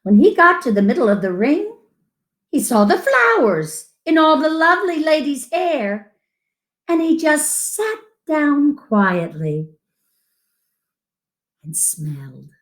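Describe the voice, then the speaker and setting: A woman's voice: sits high, very animated, slow pace, long pauses. A woman reading a picture book aloud to children as a storyteller, with dramatic emphasis and asides to her listeners.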